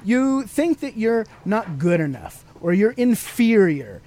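Animated, high-voiced man teaching conversationally. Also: slow pace. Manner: explanatory, addresses listener with questions. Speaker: podcast host.

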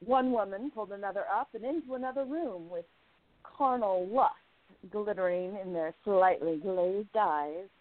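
A woman's voice: medium pitch, moderate pace, phone-quality sound, a little hiss or hum, lightly acted. A woman playing a flirtatious, catty 1920s gossip columnist in a radio play, arch and theatrical, savoring her words. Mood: breathless relish. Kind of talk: radio play narration and dialogue.

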